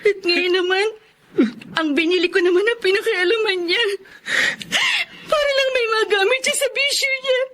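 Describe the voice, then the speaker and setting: Very high, bright, pleading elder sister. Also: female voice, moderate pace. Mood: hurt, indignant. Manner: pleading, tearful. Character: hardworking, protective elder sister, pleading and wounded. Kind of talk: Tagalog radio drama acting.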